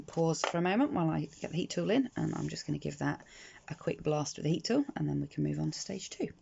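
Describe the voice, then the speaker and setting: A British woman sounding croaky in the morning, chatting her way through a craft tutorial with frequent ums and restarts. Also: low-pitched, mellow. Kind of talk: casual step-by-step craft tutorial. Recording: slightly dull lo-fi audio with faint noise.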